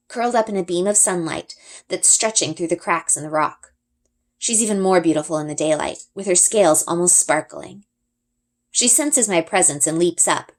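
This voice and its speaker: A woman reading a children's story aloud as an expressive first-person narrator. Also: lowish voice. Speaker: reader.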